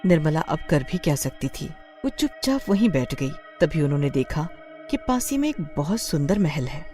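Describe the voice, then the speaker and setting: Female narrator for horror tales, mellow and animated, music underneath. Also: low voice, normal speed. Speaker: story narrator. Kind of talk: scripted Hindi horror storytelling.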